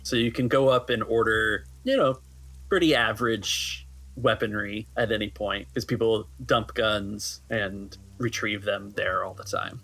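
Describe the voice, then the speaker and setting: A man with a somewhat low voice serves as game master. He describes settings and rules in an informal, conversational way. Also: measured pace, a bit bright, slightly rough, rough recording. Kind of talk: casual narration of a tabletop game.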